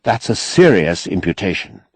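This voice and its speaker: A man voicing a measured, guarded government secretary with calm authority, formal and restrained, in an audiobook. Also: low voice, breathy edge, dry recording, full character voice. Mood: formal, reassuring. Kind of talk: audiobook character acting.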